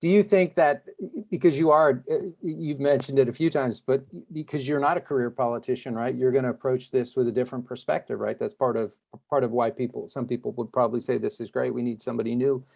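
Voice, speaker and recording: A man's voice: mid-range voice, steady tone, quick pace, gravelly, darkish tone. A man interviewing a candidate in a loose, casual way, often tagging "right?" and "yeah" onto his questions.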